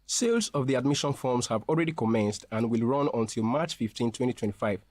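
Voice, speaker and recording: A man speaking as a representative in a scripted promotional interview, explaining things in a formal, measured way. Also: mid-range voice, lively intonation, darkish tone, dry recording. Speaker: university representative being interviewed.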